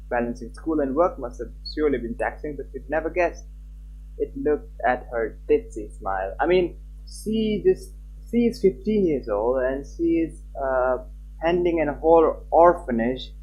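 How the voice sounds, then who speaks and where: A man live-streaming a game, reading aloud and chatting, halting at times and stumbling over his words. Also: mid-range voice, slightly rough, highs cut off. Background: game music.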